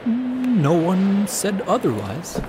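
A man's voice: sits high, big pitch swings, slow pace, exaggerated voice. An actor playing a bold, encouraging Puerto Rican father in an audio drama. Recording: clear background ambience noise.

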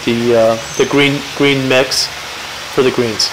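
Male interviewee, mid-range voice, halting and factual delivery, slight noise behind him. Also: medium pitch, flat delivery. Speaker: interviewed golf course renovation expert. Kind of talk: informal interview answers.

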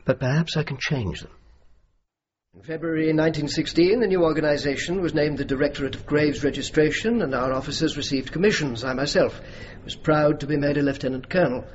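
An actor playing an earnest, determined army officer in a radio drama, speaking in a formal, measured, persuasive way. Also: male voice, a little high, natural voice. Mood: grave. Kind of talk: radio drama dialogue and narration. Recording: muffled radio recording with clear noise.

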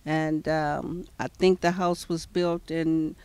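An older woman speaks softly and politely, often pausing with "uh" and "um" as she gathers her words. She is recorded outdoors on a handheld mic held toward her, and the sound is dry. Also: deep voice, little pitch movement, smooth, dry recording. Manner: soft-spoken, polite, hesitant with many fillers. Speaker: customer. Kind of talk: casual testimonial answers. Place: outdoors in front of a house. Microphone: handheld mic held by the interviewer.

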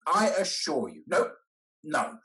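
A man acting a blunt, hearty old English gentleman in a period stage comedy, emphatic and wry. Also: a little high, huge pitch swings, slow, exaggerated voice. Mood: indignant, firm. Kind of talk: theatrical period comedy acting over video call. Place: indoors, on a video call.

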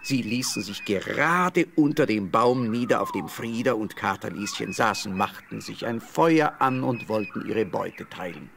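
A man's voice: on the low side, lively intonation, measured pace, gravelly, hardly any pauses, a bit bright, slight background noise. A man narrating a scripted fairy tale as the storyteller of an audio play.

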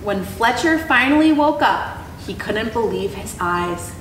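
A young woman reading a children's storybook aloud in an expressive, educator's style. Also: fairly high pitch, a little slow, slight background noise. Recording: echoey room, clear background ambience.

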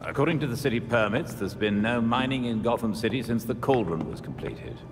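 A man playing an older, reserved aide in a video game, speaking in a measured, formal way in a reverberant space. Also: medium pitch, expressive, close to an everyday voice. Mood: matter-of-fact. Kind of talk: video game cutscene acting. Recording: reverberant hall, music underneath.

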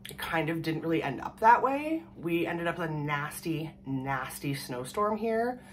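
A woman in her thirties from Canada, hoarse from a cold, chatting casually and rambling to the camera at home. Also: deep voice, very animated, unhurried, slight background noise. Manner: rambling, chatty, laughs often. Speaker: vlogger. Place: small room at home, indoors. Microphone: camera in front at desk distance.

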